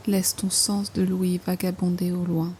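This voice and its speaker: A woman guiding a yoga nidra relaxation in slow instructions, with hushed, breathy phrase endings and an unhurried manner. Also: fairly low pitch, flat delivery, a little hiss or hum. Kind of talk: guided meditation, slow soothing instruction.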